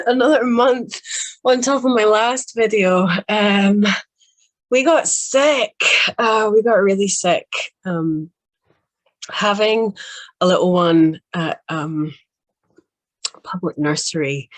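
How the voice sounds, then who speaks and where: A woman speaking as a healing practitioner in a casual, grateful chat with her viewers, still a little under the weather, with frequent soft laughs and pauses. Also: on the high side, dry recording. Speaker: quantum healing hypnosis practitioner.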